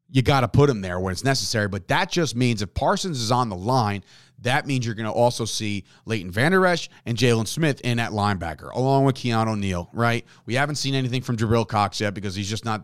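A man hosting a sports podcast, talking in a casual, animated way and often repeating himself and asking "right?". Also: medium pitch, dry recording, studio quality. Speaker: podcast host.

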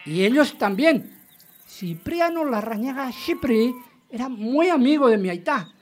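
Actress as a high-voiced, chatty caller. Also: female voice, big pitch swings, a little slow, darkish tone. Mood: proud, reminiscing. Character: chatty rural woman listener phoning a radio quiz. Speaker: contest caller.

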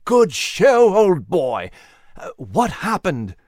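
A man's voice: high voice, wildly swooping pitch, slow pace, a little mellow, dry recording, clean studio sound, pitched above the performer's own voice, exaggerated voice. A man voicing a hearty, proper-mannered male animal in a storybook reading.

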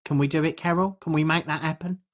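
A British woman playing an uncouth, food-obsessed podcast co-host, husky and rambling in colloquial, deadpan comic chat. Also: sits low, fast talker. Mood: gleeful, proud. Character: uncouth, food-obsessed woman telling absurd tales earnestly.

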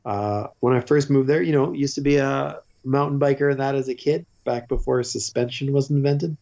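A Canadian man in his forties tells stories in a loose, rambling way, full of fillers and restarts. His voice is dark and mellow, and the recording is a little muffled. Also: mid-range voice, fairly even intonation, moderate pace, creaky. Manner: rambling, self-interrupting, with frequent fillers and restarts. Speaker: podcast guest; teacher. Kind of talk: casual conversational storytelling.

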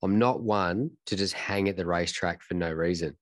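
A deep-voiced Australian man chatting casually, his voice nasal and a bit congested. The sound is dry and close. He rambles easily, with a relaxed, friendly flow. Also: moderate pace, no echo. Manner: relaxed, easygoing, repeats himself. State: congested from a cold. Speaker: racing driver and podcast guest. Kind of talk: casual chat.

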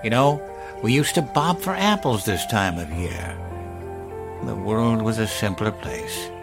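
Elderly grandfather, horror audio drama. Deepish, very slow, wistful musing with sighs, chuckles and quiet menace. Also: animated, vocal fry. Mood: wistful, nostalgic. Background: music.

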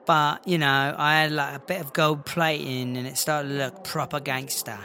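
A man's voice: on the high side, flat delivery, moderate pace, bright, forceful tone. A man voicing a chatty British female inmate and wannabe gangster rapper in a comedy audio drama, showing off in colloquial speech. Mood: proud, chatty. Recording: clearly noisy background ambience.

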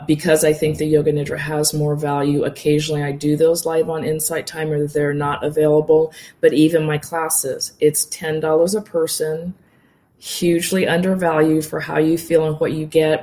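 A woman who teaches yoga nidra, speaking as an interview guest in a calm, soothing and encouraging way. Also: low-pitched, a little hiss or hum. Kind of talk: casual interview talk.

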